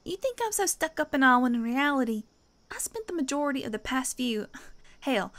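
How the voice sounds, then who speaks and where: High-voiced, guarded woman teacher acted by a woman. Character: guarded, caring female small-town teacher, defensive yet tender. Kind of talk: audio drama acting.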